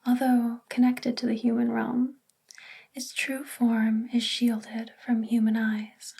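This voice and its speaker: A woman playing a sweet, bookish witch librarian in a soft spoken ASMR roleplay, gentle and soothing in a breathy, whispery voice. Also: on the high side, little pitch movement, slow, heavily acted. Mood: gentle, hushed.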